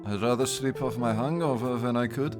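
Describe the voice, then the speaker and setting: A man plays an easygoing Victorian doctor who sounds teasing and a little worn from drink. His voice sits at a middle pitch, with music under the radio play. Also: brisk, natural voice. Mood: lazy, amused. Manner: laid-back, teasing. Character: easygoing, hungover, flirtatious male Victorian doctor. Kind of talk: audio drama acting.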